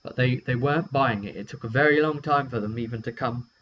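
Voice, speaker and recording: A man's voice: mid-range voice, fairly quick. A British man rambling hesitantly and chattily through a casual, unscripted recap of a tabletop game session, as its game master. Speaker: game master and vidcast host. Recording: dull lo-fi recording with steady hum.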